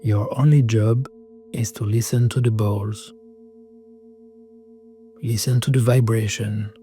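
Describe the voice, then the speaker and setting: A man narrating a guided meditation in a soothing, measured way, speaking calm scripted instructions in short phrases. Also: lowish voice. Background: singing bowl tones.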